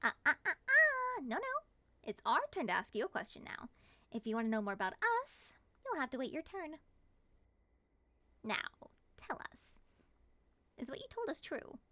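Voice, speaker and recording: A woman playing a playful, bubbly moth-human monster in an ASMR roleplay, coaxing and teasing in an expressive way. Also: sits high, moderate pace, pauses often, no echo, exaggerated voice. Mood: curious.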